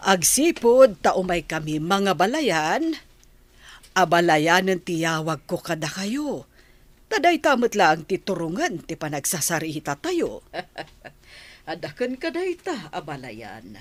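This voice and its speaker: A woman acting an older grandmother who is arranging her grandson's wedding, speaking in a measured, earnest way in a radio comedy drama. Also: on the low side, very animated.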